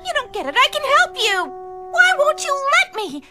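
An actor voicing a small, talkative, excitable cartoon bird, exclaiming and pleading in a dubbed animation. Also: very high-pitched, normal speed, exaggerated voice. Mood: eager, pleading. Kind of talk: animated cartoon dub acting. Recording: loud music behind the voice.

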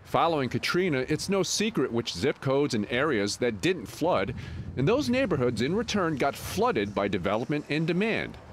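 A man's voice: medium pitch, very animated, moderate pace, a little hiss or hum. A man reporting a local news feature, narrating and interviewing people outdoors on residential streets.

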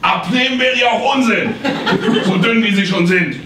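A man's voice: high-pitched, moderate pace, background noise, close to an everyday voice. A man playing a deranged, muddled and boisterous doctor, an incompetent psychotherapist in a live comedy radio play. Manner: loud, muddled, exclamatory. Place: small theatre stage. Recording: distant camera in echoey theatre.

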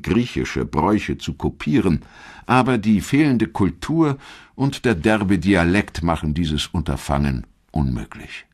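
Man, extremely low voice, scripted documentary narration, measured storytelling delivery, clear articulation.

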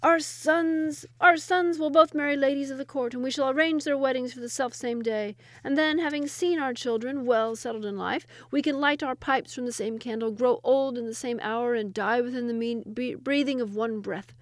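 A pompous, quarrelsome royal vizier from a storybook, acted out by a woman reader. He boasts and sneers in a raised, high voice full of florid phrases. The sound is very dry. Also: moderate pace, few pauses, no echo, lightly acted. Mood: delighted, eager. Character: boastful, quarrelsome male royal wazir, indulgent turning scornful.

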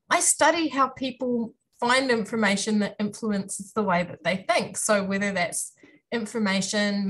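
A woman with a New Zealand accent speaks over a webcam from a room at home. She is a university lecturer telling students about her career. Her delivery is friendly, lively and self-deprecating. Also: medium pitch. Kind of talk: online presentation to students. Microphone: webcam on a video call.

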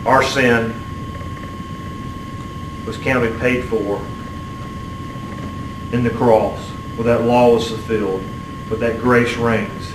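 Middle-aged man, preacher, earnest halting delivery, even pitch, clear background hum. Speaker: Baptist preacher. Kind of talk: sermon and closing prayer. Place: small church sanctuary, at the pulpit. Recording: roomy, distant mic, steady room hum.

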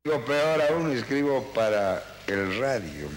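A man's voice: mid-range voice, expressive, measured pace, a little hiss or hum. A man, a radio writer, delivering a rambling, theatrical lament on a reverberant old film soundtrack. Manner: rambling, dramatic, repetitive.

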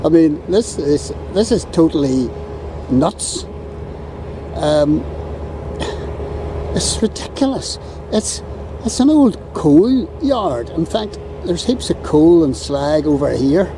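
A Northern Irish man filming a harbour outdoors, ranting sarcastically in an exasperated, drawn-out way. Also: on the high side. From Northern Ireland. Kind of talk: ranting handheld commentary. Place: outdoors on a windy harbour quay. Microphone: camera mic while filming. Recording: outdoor camera audio with steady wind and traffic noise.

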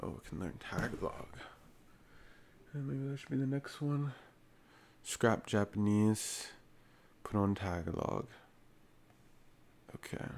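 A young man who is a native English speaker, haltingly repeating phrases as a language learner and often trailing off. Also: lowish voice, animated, gravelly, darkish tone, slight background noise. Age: young adult. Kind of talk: repeating Korean phrases in self-study. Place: small room at home, at a computer.

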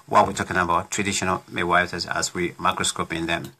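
A middle-aged man hosting a casual interview show from a small home studio, talking in halting runs with many restarts. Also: sits low, little pitch movement. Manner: halting, many restarts, plays devil's advocate. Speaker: talk show host. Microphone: studio condenser mic on desk.

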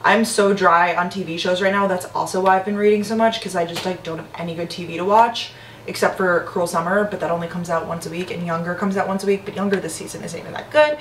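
A woman's voice: fairly low pitch, steady tone, quick pace. A young woman in her twenties chatting casually to the camera as a lifestyle vlogger, full of "like" fillers and upbeat asides. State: slightly hoarse. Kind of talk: casual vlog chat to camera. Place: apartment kitchen, indoors. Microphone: camera on counter, some distance. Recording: roomy apartment echo, distant camera mic, background hum.

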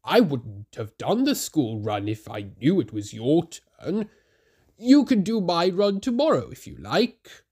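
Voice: medium pitch, very animated, unhurried, a little mellow, husky, pitched above the performer's own voice. A kind little engine, voiced by a narrator. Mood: earnest. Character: kind young male tank engine.

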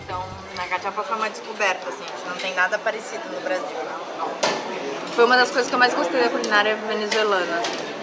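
A woman's voice: medium pitch, steady tone, quick pace. A young Brazilian woman with a Brazilian Portuguese accent, hosting a casual city tour to camera in a chatty way, often saying "assim". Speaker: travel guide host. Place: busy food shop and noisy club. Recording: loud music and crowd behind.

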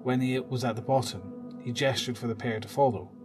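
A man's voice: medium pitch, fairly even intonation, fairly quick, darkish tone, soft background music. A man narrating a scripted horror audio drama.

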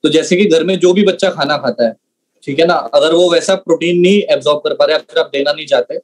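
Young guy, trainer on a video call explaining stuff, voice a bit high, drops in english words. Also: quick pace. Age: young adult. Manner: mixes in many English words. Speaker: trainer answering product questions. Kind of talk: explanatory online training talk.

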